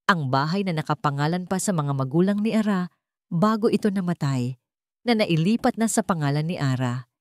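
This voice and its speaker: Mababang boses ng babaeng Pilipinang tagapagsalaysay. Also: female voice, fairly low pitch, lively intonation, a little fast, no room sound, clean studio sound. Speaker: audiobook narrator. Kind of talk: dramatic scripted story narration.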